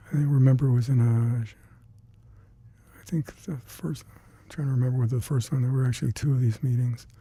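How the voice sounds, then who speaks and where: An older man with Hungarian as his first language, recalling past work in an oral history interview, halting and reflective with many fillers and restarts. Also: mid-range voice, fairly even intonation, mellow, slightly rough, no echo. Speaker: interviewee, retired scientist.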